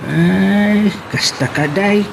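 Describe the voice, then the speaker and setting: A man's voice: sits high, very slow, creaky, background noise, full character voice. A man with an Ilocano accent playing a nosy, teasing older uncle in a radio drama. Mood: teasing, cautioning.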